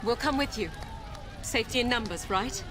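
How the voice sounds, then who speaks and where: A woman's voice: fairly high pitch, normal speed, a crowd in the background, close to an everyday voice. A woman voicing a headstrong, curious young princess in an audio adventure game. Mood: earnest, challenging. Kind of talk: dramatic audio game acting.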